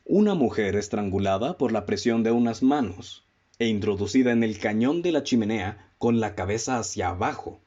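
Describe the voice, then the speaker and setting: A man's voice: on the low side, animated, darkish tone, muffled recording. A man playing a methodical lecturing detective. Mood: probing. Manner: measured, lecturing, poses pointed questions. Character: calm, methodical male detective laying out deductions. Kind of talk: radio drama acting.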